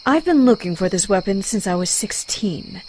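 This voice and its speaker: A woman's voice: medium pitch, expressive, moderate pace, dark tone, slight background noise, close to an everyday voice, poor audio. A woman voicing a fierce, proud, hot-tempered young Amazon warrior in a motion comic, blunt and dramatic. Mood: defiant.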